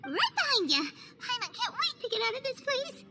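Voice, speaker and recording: Squeaky tiny fairy companion. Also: female voice, very animated, normal speed, full character voice. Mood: nervous. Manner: squeaky, whiny, talks about herself in third person. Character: tiny excitable female fairy companion, childlike, chatty. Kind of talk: video game cutscene voice acting. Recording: game audio with loud music underneath.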